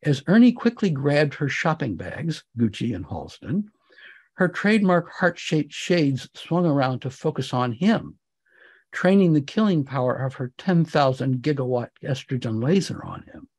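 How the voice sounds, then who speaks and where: A man giving an expressive, wry and theatrical narration of comic fiction, as an author reading his own work. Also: mid-range voice, normal speed, slightly rough.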